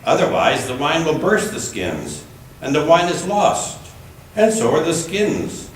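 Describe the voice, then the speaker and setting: A man reading scripture aloud at a church service in a measured, deliberate way. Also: fairly high pitch, wildly swooping pitch. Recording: echoey room, distant mic, faint noise.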